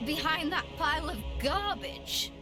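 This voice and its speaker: A woman's voice: high-pitched, lively intonation, measured pace, over music. A woman playing a brash, sarcastic fighter in a fantasy video game.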